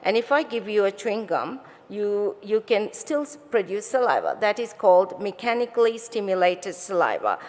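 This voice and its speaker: A middle-aged woman scientist presents to an audience in a big, reverberant hall. Her voice sits at a medium pitch, and she explains step by step, posing rhetorical questions. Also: very animated, moderate pace, hardly any pauses, darkish tone. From Botswana, born in Sri Lanka. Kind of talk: conference talk to an audience. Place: conference stage in a large hall.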